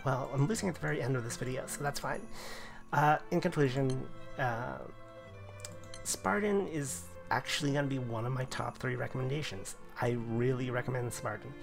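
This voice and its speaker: A man reviewing a game class in casual video commentary, his voice going hoarse, rambling with lots of fillers. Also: medium pitch, moderate pace, faint music behind. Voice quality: gravelly, voice wearing thin. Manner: rambling, filler-heavy explanations. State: losing his voice.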